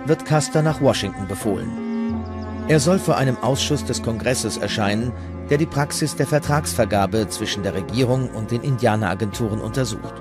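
A man's voice: medium pitch, a little fast, over music. A man reading scripted documentary narration as the narrator.

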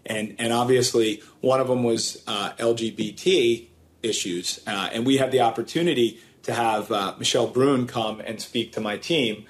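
A man's voice: medium pitch, normal speed. Conversational man joining from an office. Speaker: remote panelist. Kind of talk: panel discussion. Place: office meeting room.

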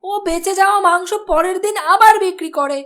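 A woman voicing a high, sharp-tongued nagging wife. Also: fairly quick. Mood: scolding. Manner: scornful, scolding. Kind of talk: cartoon voice acting.

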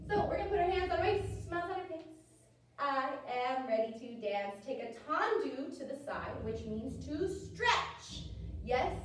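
Echoey, high-voiced American woman teaching ballet. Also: normal speed, a little hiss or hum. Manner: animated, encouraging, sing-song cueing. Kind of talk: dance class instruction for toddlers. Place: indoor dance studio with wooden floor. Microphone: camera several metres away. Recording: very echoey studio, distant microphone, music.

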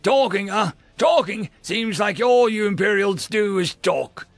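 A man voicing an agitated male rebel guard in a story, with a sharp, defensive, barking manner. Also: medium pitch, moderate pace, vocal fry, breathy edge, dry recording, voice pushed up. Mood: suspicious.

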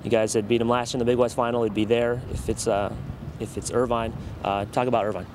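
A man's voice: mid-range voice, level tone, quick pace, background noise. A man working as a sports interviewer, putting post-match questions to a coach outdoors on a field.

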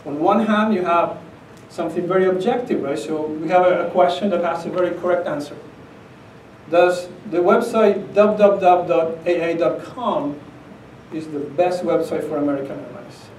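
A man's voice: on the high side, normal speed, darkish tone, a little hiss or hum. A middle-aged man from Argentina with an Argentine accent gives an emphatic, conversational conference talk, often checking in with "okay?". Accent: Argentine Spanish. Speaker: conference speaker. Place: conference room with projector screen. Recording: echoey conference room, captured from a distance.

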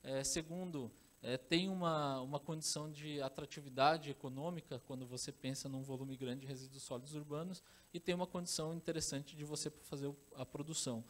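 A man in his thirties from Brazil giving a conference talk with a handheld microphone on stage, often pausing with fillers and restarting his sentences. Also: fairly high pitch, steady tone. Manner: hesitant, many fillers and restarts. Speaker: conference speaker. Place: large conference hall on stage.

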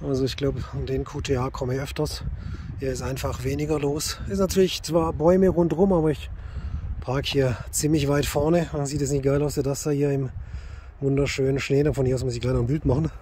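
A man's voice: medium pitch, fairly even intonation, a little fast, dark tone, a little hiss or hum. A middle-aged man chatting casually to camera as a CB radio hobbyist, in a car and outdoors. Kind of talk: casual vlog chat and radio calls. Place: inside a parked car and outdoors in snowy forest.

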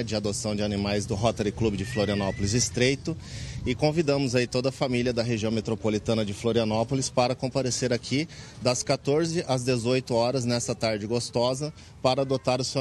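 A man's voice: on the low side, a little hiss or hum. A man in his forties with a Brazilian accent, answering a live TV interview outdoors into a reporter's handheld mic. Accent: Brazilian Portuguese. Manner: earnest, explanatory, many fillers. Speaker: interviewee, club president. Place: outdoors in a park under a tent.

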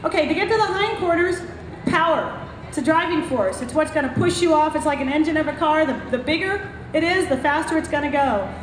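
High-voiced woman vet teaching outdoors. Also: expressive, a little fast, roomy sound, a little hiss or hum, poor audio. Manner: explanatory, emphatic teaching with gestures. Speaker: veterinarian. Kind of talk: outdoor clinic talk to an audience. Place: outdoor paddock at a racetrack. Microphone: handheld mic.

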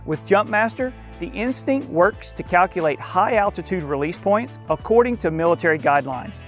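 A man in his forties presents a product to camera outdoors, his delivery earnest and measured. His voice is notably high for a man, and faint music runs beneath him. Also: expressive. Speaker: company spokesperson. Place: outdoors in a green field.

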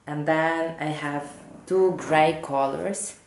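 A woman in her thirties with English as a second language, hosting a knitting podcast at home and sometimes halting to find her words. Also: low-pitched, steady tone, slight background noise. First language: not English, not Danish. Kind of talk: casual chat to camera. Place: living room at home, indoors. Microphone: camera or laptop mic at desk distance. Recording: roomy home echo.

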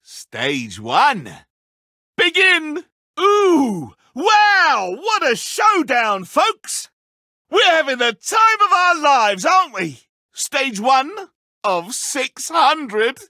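An actor playing a petty, egotistical, godlike villain in a video game, smooth and theatrical, with condescending mock friendliness. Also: male voice, very high-pitched, huge pitch swings, drawn-out words, sharp tone, dry recording, studio quality, heavily acted. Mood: gleeful showman.

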